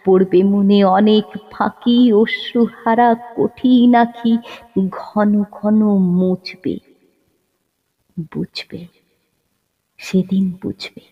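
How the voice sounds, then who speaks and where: A woman giving an expressive, dramatic poetry recitation in measured phrases with pauses and swells. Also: mid-range voice. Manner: expressive, pausing, swelling and dropping to hushed refrains. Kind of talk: dramatic Bengali poem recitation, slow and measured. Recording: dull lo-fi sound, long reverb.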